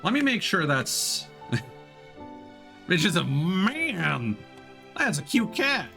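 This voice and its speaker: A man's voice: high voice, very animated, drawn-out words, gravelly, bright, forceful tone. A man giving casual gaming commentary, thinking aloud and sometimes reading on-screen text. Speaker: streamer. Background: game music.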